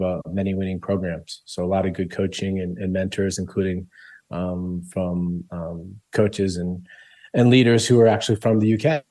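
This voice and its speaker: Guest: man, deep mellow voice, loose talker full of ums, laughs easily. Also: no echo. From Colombia. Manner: rambling, many ums and restarts, laughs easily. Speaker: club founder, interview guest. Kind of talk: casual interview answers.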